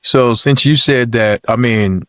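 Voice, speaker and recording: A man's voice: mid-range voice, measured pace, a bit bright, dry recording. A man co-hosting a podcast, asking easygoing questions and affirming the guest. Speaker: podcast co-host. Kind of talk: casual interview questions.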